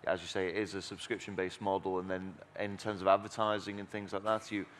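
A man's voice: sits low, flat delivery, fairly quick, fairly bright tone, slight background noise. A man moderating a conference panel, asking long, rambling questions full of fillers.

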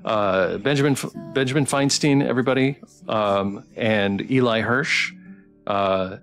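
A man's voice: fairly low pitch, unhurried. A man hosting a casual livestream interview, speaking hesitantly and with effusive appreciation. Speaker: livestream host interviewing game developers. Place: online voice call on a livestream. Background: guitar music playing softly.

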